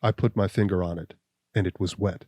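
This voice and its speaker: A man voicing a stern, implacable accuser who speaks in a deep, level voice, slow and measured. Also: steady tone, dark tone, dry recording.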